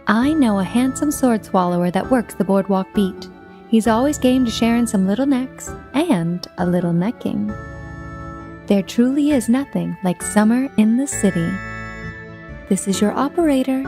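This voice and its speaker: An actress playing a wry, sultry 1950s telephone operator who narrates poetically as host of a radio anthology. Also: female voice, on the high side, animated, moderate pace, warm tone. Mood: playful, flirty. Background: music.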